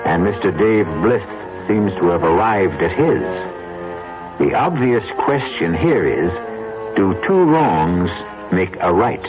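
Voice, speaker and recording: A man's voice: sits low, sing-song, takes it slowly, pauses often, exaggerated voice. A man hosting a radio mystery drama with scripted, portentous narration. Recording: tinny radio recording, music behind.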